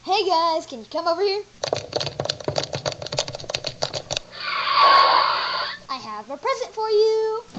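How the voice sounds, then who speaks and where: Lady doing a chatty bible teacher guy, really high childlike pitch, noisy homemade audio. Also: highs cut off. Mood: chatty, playful. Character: Jesus, a male teacher, friendly and jokey with disciples. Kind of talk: amateur Bible audio drama, scripture mixed with comic asides.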